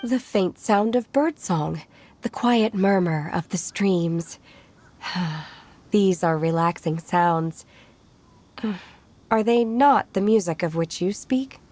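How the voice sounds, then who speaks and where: A woman voicing a serene, literal-minded young mountain disciple in an anime-style video game, formal, measured and earnest. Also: mid-range voice, a little mellow. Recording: music faintly behind the voice.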